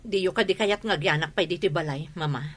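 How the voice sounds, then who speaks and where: A woman's voice: on the low side, brisk, faint music behind, natural voice. A woman with an Ilocano accent playing a stubborn, aggrieved grown daughter and wife in an audio drama. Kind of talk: Ilocano radio drama acting.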